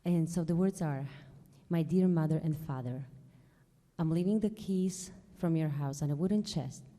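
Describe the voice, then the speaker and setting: Woman with a dark, mellow voice in a hall. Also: low voice, normal speed. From eastern Slovakia. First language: Carpatho-Russian. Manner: plain, careful speech with small slips. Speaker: guest singer. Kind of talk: introducing songs on a stage. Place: large concert hall stage. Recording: long hall reverb.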